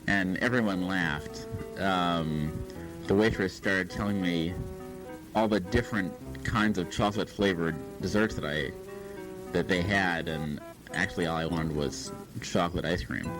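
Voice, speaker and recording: Give the voice of a man with a very deep pitch, recounting a personal anecdote in a casual, halting way. Music plays clearly behind him. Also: rough recording.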